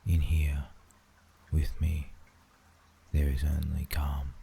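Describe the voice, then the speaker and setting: Australian male ASMR guide: extremely low, gravelly voice, soothing and half-whispered, for scripted sleep narration. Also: flat delivery, slow. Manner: soothing, hushed, often dropping into a whisper. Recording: faint background noise and ambience.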